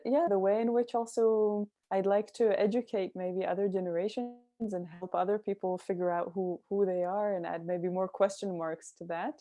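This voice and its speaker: A woman's voice: on the low side, dark tone, no room sound, clean studio sound. A woman from Israel speaking as an interview guest, thoughtful and searching, with frequent ums and restarts. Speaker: guest, cultural mentor. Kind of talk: reflective interview talk.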